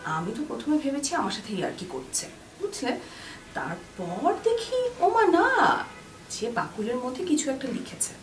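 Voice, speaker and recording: A woman's voice: sits high, big pitch swings, moderate pace. An actress playing a sentimental married woman, telling her love story in a girlish, conversational Bangladeshi voice in a TV drama. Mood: fond, nostalgic. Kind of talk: TV drama dialogue, intimate storytelling. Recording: music bed under the voice.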